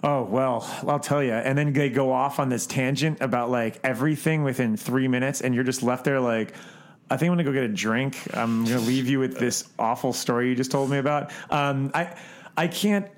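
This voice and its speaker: Man, mid-range voice, casual reviewer, expressive storytelling, conversational. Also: mid-range voice, brisk. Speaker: podcast co-host and film reviewer. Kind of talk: casual film discussion.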